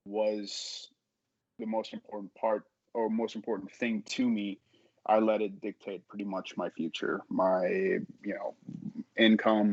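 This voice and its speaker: Halting young man, low dry voice. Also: vocal fry, a little mellow, dry recording. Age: young adult. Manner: halting, searches for words. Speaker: podcast guest, audio drama creator. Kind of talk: candid casual conversation. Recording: dull, dry lo-fi sound.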